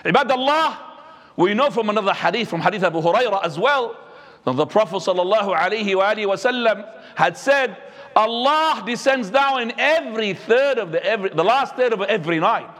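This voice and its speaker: Lively high-voiced preacher, echoey hall. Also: male voice, a little fast. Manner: animated preaching, swinging between high and low. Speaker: imam. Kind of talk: Friday sermon. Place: mosque.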